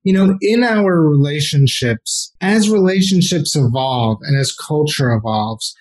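A man with a somewhat high voice answers interview questions at length. He explains patiently and often repeats words and fills pauses as he thinks. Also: animated. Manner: thoughtful, explanatory, frequent repeated words and fillers. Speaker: sex therapist guest.

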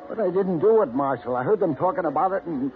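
An actor playing a frightened, boastful drunk cowboy who pleads in rough backwoods speech, in an old radio western. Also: male voice, a little high, lively intonation, quick pace, close to an everyday voice. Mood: pleading. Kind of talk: 1950s radio drama acting. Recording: tinny old radio broadcast.

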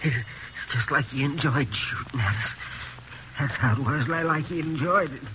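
A man performs a wounded townsman in a Western radio play, short of breath and weak. His rustic speech comes in halting, bewildered gasps. The old recording is dull with a little noise. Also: mid-range voice, bright, forceful tone, lightly acted. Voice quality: breathy, full of air. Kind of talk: 1950s Western radio drama acting. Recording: muffled, noisy 1950s radio recording.

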